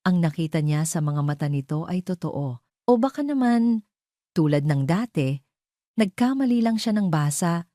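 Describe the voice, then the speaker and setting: A woman reading a scripted romance drama as its narrator, in a measured, solemn storytelling manner. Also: on the low side, expressive, dark tone, no echo, studio quality.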